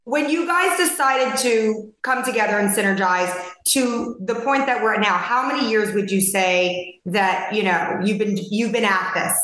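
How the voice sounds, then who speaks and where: A woman with a hoarse voice hosting a podcast interview, animated, laughing often and telling stories by analogy. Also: medium pitch, normal speed, few pauses. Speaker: podcast host and lawyer. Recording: very echoey room.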